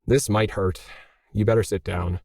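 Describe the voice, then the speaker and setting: A man's voice: on the low side, fairly even intonation, normal speed, a little mellow, no echo. A man voicing a worried, irritable male artist who scolds and complains in an audiobook story. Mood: exasperated.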